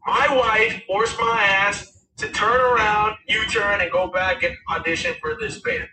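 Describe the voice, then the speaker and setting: A raspy-voiced man in his thirties, a musician guest telling his story in a rambling, casual way with many fillers. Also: high voice, steady tone, measured pace, reverberant, distant mic, background noise. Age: 30s. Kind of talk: casual interview talk.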